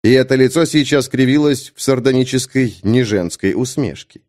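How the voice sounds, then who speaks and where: A man narrating a fantasy audiobook as a scripted read, in a measured, dramatic storytelling manner. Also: medium pitch, darkish tone.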